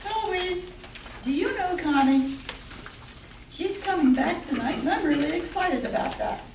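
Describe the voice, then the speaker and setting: A woman playing a barmaid in an amateur old-time radio play, delivering her lines in a deliberate, stagey way. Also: very high voice, lively intonation. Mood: excited. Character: excitable barmaid Alice and fellow bartender Heloise. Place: live stage show in an echoey room. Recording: echoey distant hall recording with noise.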